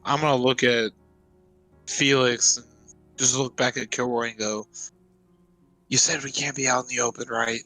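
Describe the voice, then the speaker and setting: A man's voice: mid-range voice, fairly even intonation, moderate pace, pauses often, pushed and bright, low-quality recording. A man talking casually as a roleplay player, narrating his character's actions and lines in an informal, exasperated way. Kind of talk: casual in-game narration and dialogue. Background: music.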